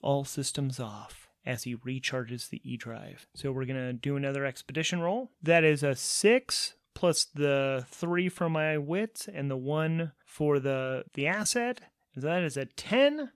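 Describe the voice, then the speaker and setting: A man's voice: mid-range voice, measured pace, clear, resonant tone, no room sound, clean studio sound. A man narrating a solo tabletop role-playing game as host and player, thinking aloud between casual rules talk and storytelling.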